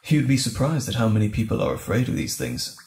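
A man playing a gentle, caring boyfriend in an intimate audio roleplay, speaking soothingly and tenderly with light teasing and a halting delivery. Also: lowish voice, sing-song, roomy sound. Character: gentle, caring boyfriend comforting frightened partner.

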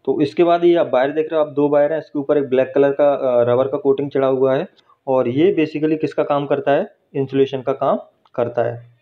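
A man's voice: mid-range voice, fairly even intonation, moderate pace. Man explaining in a workshop. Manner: explains step by step, checks in with "ठीक है" and "है ना". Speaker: teacher. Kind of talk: hands-on technical explanation. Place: welding workshop. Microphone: phone held while filming. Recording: dull lo-fi phone recording.

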